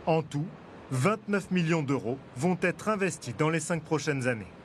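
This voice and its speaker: Man, news voice-over, fairly high voice, measured delivery, faint background hiss. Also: animated. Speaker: news reporter.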